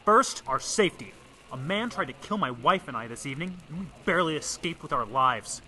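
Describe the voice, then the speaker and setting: An actor playing an earnest newspaper reporter in danger in an old-time radio drama, urgent and emphatic. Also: male voice, on the high side, lively intonation, a little fast, pushed and bright, low-quality recording. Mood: urgent, indignant.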